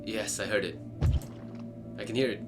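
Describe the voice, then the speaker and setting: A man's voice: on the low side, fairly even intonation, normal speed, over loud music. A man hosting a casual game playthrough, reacting and talking back to the game, often repeating phrases. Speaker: gaming video host.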